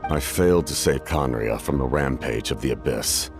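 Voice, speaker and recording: An actor playing an ancient, cursed masked warrior in a video game, stoic and weary, speaking gravely and formally in a measured way. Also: male voice, very deep voice, faint music behind. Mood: somber, reflective.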